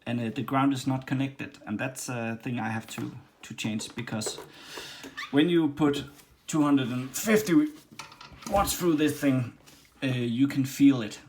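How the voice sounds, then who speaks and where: A man from Denmark with a Danish accent, casually demonstrating and reviewing a leather machine, with many pauses and restarts. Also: medium pitch, a little mellow. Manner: halting, many ums and restarts. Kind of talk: casual workshop demo. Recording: faint background noise and clicks.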